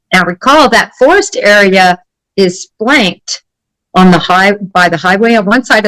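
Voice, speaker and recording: A woman speaking off the cuff as a guest analyst, deliberate and emphatic in her delivery. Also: medium pitch, fairly bright tone, no echo, low-quality recording. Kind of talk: unscripted case analysis in an interview.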